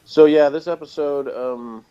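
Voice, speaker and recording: A man's voice: a little high, steady tone, unhurried, mellow, clean tone, highs cut off. A man giving a casual, off-the-cuff TV episode review as host, rambling along in a sardonic way.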